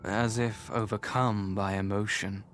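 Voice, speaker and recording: A man playing a solemn game narrator, reading scripted story narration in a measured, formal manner. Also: on the low side, level tone, background noise, close to an everyday voice. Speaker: narrator of a visual novel. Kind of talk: scripted narration, second person.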